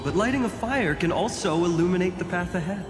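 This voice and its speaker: An actor playing an earnest, idealistic young hero in a dramatic video game scene. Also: male voice, high voice, animated, brisk. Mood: resolute, hopeful. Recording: echoing hall reverb under loud music.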